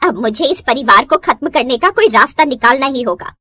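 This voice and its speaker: A woman's voice: sits high, lively intonation, a little fast, bright, forceful tone, slightly rough, dry recording, studio quality, full character voice. A woman voicing a scheming, spiteful older sister-in-law in a cartoon moral story, bitter and commanding. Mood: bitter, plotting.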